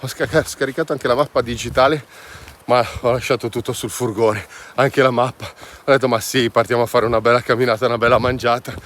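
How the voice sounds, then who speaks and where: A man's voice: mid-range voice, fairly even intonation, brisk, a bit bright. A man chatting casually and enthusiastically to viewers as an outdoor vlog host. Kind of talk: casual chat to camera. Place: mostly outdoors in mountain valley and woods. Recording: noisy outdoor ambience.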